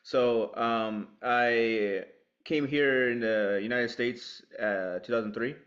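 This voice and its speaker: A man from Peru speaking casually and haltingly as a podcast guest, with dull lo-fi sound. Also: mid-range voice, a bit bright. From Lima, Peru. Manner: halting, hesitant delivery. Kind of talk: casual self-introduction.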